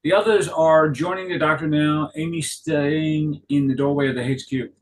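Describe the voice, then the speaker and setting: A man narrating stage directions at a script table read, halting and correcting himself as he goes. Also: fairly high pitch, steady tone, rough recording. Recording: clear background noise.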